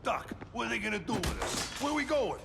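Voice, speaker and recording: Heavy noise and clatter surround an agitated male prisoner in a video game. The voice is high and gravelly, with a colloquial, combative, defiant delivery. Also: big pitch swings, brisk, full character voice. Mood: agitated defiance. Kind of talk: dramatic game cutscene acting. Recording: very noisy, clattering background.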